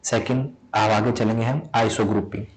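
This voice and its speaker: A man from India teaching a chemistry lesson in Hindi. He has a sore throat and clears it often. He keeps asking questions and answering them himself, and repeats each point. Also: on the low side, fairly even intonation, moderate pace, poor audio. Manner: repetitive, asks and answers own questions. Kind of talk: explaining a lesson.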